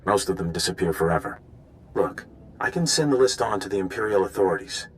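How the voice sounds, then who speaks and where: A man's voice: low voice, animated, a little fast, a little hiss or hum, poor audio. An actor playing an earnest, scheming male undercover informant in a video game, speaking in an urgent, conspiratorial way. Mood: worried. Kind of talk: video game dialogue acting.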